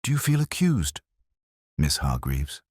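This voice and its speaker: Man playing police inspector in a mystery story: very low-voiced, calm, watchful, formal. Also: husky, dry recording, clean studio sound, exaggerated voice. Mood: calm, probing. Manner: deliberate, formal, understated. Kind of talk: dialogue in a narrated mystery story.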